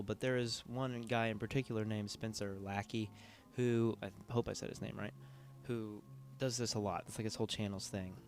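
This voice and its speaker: A man hosting a video commentary in a casual, conversational, informal way. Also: on the low side. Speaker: video essay host.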